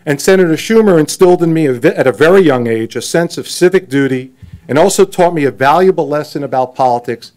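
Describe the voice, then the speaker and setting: A man's voice: on the high side, expressive, fast, darkish tone. A man giving a chatty, anecdotal speech at a podium, dropping in self-deprecating asides. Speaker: event emcee.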